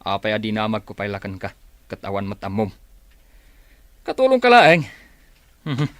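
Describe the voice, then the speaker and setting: Radio drama role: taunting, meddlesome man with lively, swooping delivery. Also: fairly low pitch, normal speed, no echo. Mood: mocking. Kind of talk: Filipino radio drama acting.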